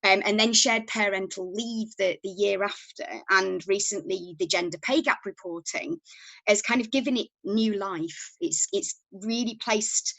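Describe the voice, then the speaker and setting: A woman's voice: medium pitch, unhurried, vocal fry, fairly bright tone, dry recording, rough recording. A woman with a Yorkshire accent, chatting as a guest on a podcast over a remote call. She talks casually, with frequent ums and small restarts. Her voice sits in a middle register, and the recording is dry and close, with no room sound. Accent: Yorkshire English. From Yorkshire, England. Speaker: podcast guest, company founder. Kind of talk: casual interview talk.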